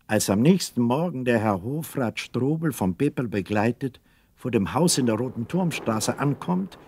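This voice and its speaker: A man narrating a radio play as a scripted story, with measured, literary delivery. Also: medium pitch, animated, darkish tone, breathy edge, no room sound.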